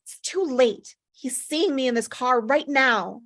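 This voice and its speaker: A woman's voice: sits high, measured pace, fairly bright tone, dry recording, clean studio sound, heavily acted. A woman reading the part of an annoyed, guarded fourteen-year-old girl in a screenplay table read, terse and irritated.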